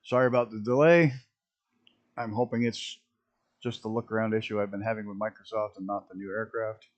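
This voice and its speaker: A man with a rough early-morning voice, chatting casually and rambling as he streams a flight simulator. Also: fairly low pitch, steady tone, fairly quick, highs cut off. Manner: rambling, self-interrupting, chuckling at mishaps. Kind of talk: casual live-stream commentary.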